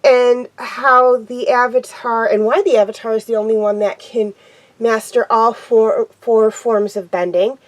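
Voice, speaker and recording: A young woman with a cold and a stuffed-up, nasal voice, hosting a casual TV episode review and talking haltingly with many pauses and ums. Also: on the high side, steady tone, rough recording. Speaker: show host reviewing TV episodes.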